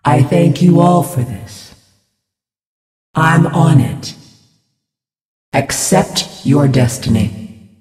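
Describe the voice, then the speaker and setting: A serene pious spirit priestess for a video game, super slow n ceremonial, big echoey hall sound. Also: female voice, low voice, a little mellow, distant mic. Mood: solemn, judging. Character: serene, devout female floating spirit healer, solemn and judging. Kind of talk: video game character voice lines. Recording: reverberant hall effect, music behind.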